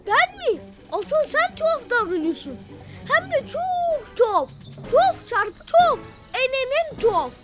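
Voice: extremely high pitch, very animated, unhurried, heavily acted. A performer voicing a small, eager, suspicious young animal detective in a children's cartoon, speaking in a dramatic, inquisitive way. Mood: accusing, indignant. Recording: music playing behind the voice. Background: cartoon background music.

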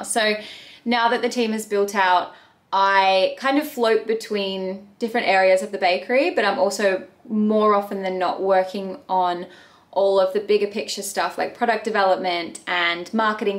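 A woman in her thirties, a bakery owner, chatting earnestly to camera from her bedroom in a casual question-and-answer video. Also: medium pitch. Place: bedroom at home.

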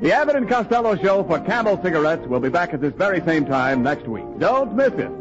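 A man's voice: sits high, sing-song, a little fast. A man announcing from a script in the polished style of 1940s sponsored radio. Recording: dull 1940s radio recording, faint music.

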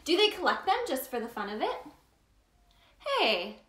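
A woman playing a cheerful Tooth Fairy who hosts children's story time, talking straight to young listeners in an animated, sing-song way in a roomy, echoing space. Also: high voice, moderate pace. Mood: playful, wondering.

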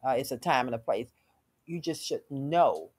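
A woman's voice: rumbling low, animated, normal speed, darkish tone, husky. A middle-aged woman hosting a casual panel talk in a radio studio, speaking emphatically with preacherly asides. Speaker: talk show host.

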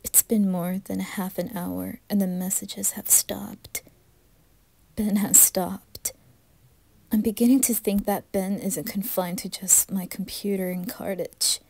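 A woman narrating a first-person horror story with dramatic, anguished intensity and a breathy delivery. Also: mid-range voice, fairly even intonation, a little slow, warm tone, no room sound.

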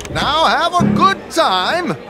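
An actor playing a glib, self-assured swindler in a western video game, breezy and theatrical. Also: male voice, extremely high pitch, big pitch swings, slow, over loud music, full character voice. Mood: breezy, confident. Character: suave, playful, self-assured con man in an outlaw gang. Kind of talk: video game cutscene acting.

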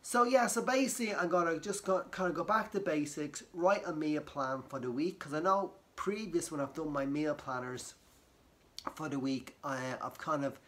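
A man, croaky from a cold, chatting casually to camera from his living room sofa and rambling with many fillers and restarts. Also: fairly high pitch, normal speed, bright, forceful tone. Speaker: vlogger.

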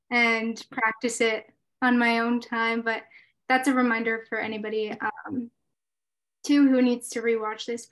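Woman moderator for a live Q&A; friendly, brisk, slightly high-pitched. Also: poor audio. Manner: friendly, reads out viewer questions. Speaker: event moderator. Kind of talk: live online Q&A.

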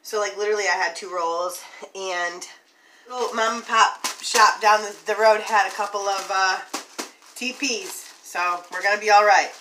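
A woman's voice: medium pitch, fairly even intonation, moderate pace, distant mic. A woman in her thirties chatting informally and reassuringly to her phone in a casual home vlog. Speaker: vlogger. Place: home kitchen, indoors. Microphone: phone at arm's length. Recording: noisy home phone recording.

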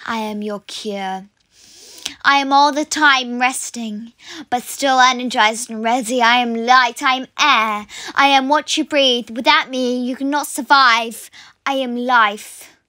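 A free, proud spirit of air played by a woman speaks in a fairly high voice at a very slow pace. The delivery is poetic and deliberate, built from short declarations, gentle yet sure of its own power. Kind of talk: poetic first-person dramatic monologue.

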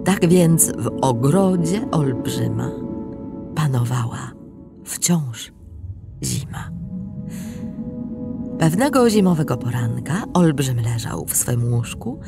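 A woman narrating a scripted tale dramatically, deliberate and expressive, with pauses and hushed phrase endings. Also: a bass voice, vocal fry, loud music behind the voice. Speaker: storybook narrator.

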